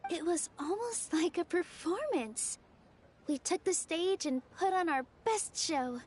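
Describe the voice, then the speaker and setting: A woman's voice: very high voice, expressive, unhurried, a little mellow, slight background noise. A woman playing a cheerful, encouraging young woman in a video game, sweet, upbeat and earnest. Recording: game music under the voice.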